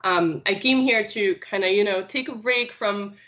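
A woman from Tunisia whose first language is Arabic, answering interview questions in a casual, unhurried way with many filler sounds. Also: medium pitch, a bit bright. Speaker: finance recruiter, podcast guest.

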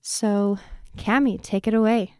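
A woman's voice: medium pitch, steady tone, measured pace, dark tone, clean tone, no echo. A woman hosting a studio show, reading out an introduction for a guest. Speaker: radio show host. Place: radio studio.

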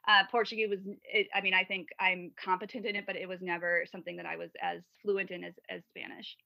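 A woman's voice: fairly low pitch, level tone, fast talker, creaky, no echo. A woman from Wisconsin, a podcast guest and mother of three, talking at length in a casual interview, rambling with many fillers and restarts. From Wisconsin, USA. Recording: dull lo-fi sound.

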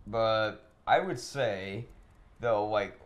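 A man's voice: lowish voice, extremely slow. A man hosting a casual podcast chat while playing a video game, talking discursively, giving opinions and posing questions. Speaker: podcast host. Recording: clearly noisy room recording.

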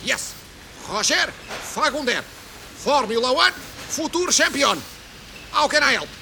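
A man playing a boastful, foul-mouthed immigrant factory worker in a comedy sketch, excitable and bombastic, with a European Portuguese accent. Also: extremely high pitch, measured pace, vocal fry, a little hiss or hum, exaggerated voice. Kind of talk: exaggerated comedy sketch acting.

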